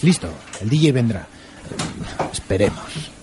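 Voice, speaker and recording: A man's voice: mid-range voice, animated, measured pace, noisy background, close to an everyday voice. A man with an accent from Spain playing a harried, grumbling team worker in a radio drama. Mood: businesslike, wary. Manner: exasperated, colloquial.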